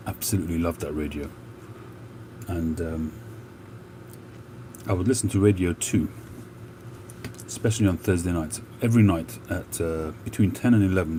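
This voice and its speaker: A very deep-voiced man with a mixed Cockney and North London accent talks at an easy, meandering pace on a live stream. Traffic and street noise can be clearly heard behind his voice. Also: warm tone. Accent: London, Cockney with a North London mix. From England. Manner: slow, unhurried, with many filler pauses. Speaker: livestream host. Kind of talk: relaxed live chat.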